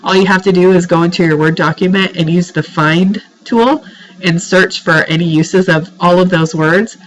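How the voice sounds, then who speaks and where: A woman's voice: on the low side, steady tone, moderate pace, rough recording. A woman teacher with a congested, cold-stuffed voice giving informal recorded feedback to students in an exasperated, pleading, emphatic manner. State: has a head cold. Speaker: writing teacher.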